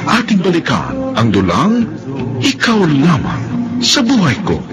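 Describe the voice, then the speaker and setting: Male drama announcer: slow, hugely expressive delivery over prominent music. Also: fairly high pitch. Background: loud music.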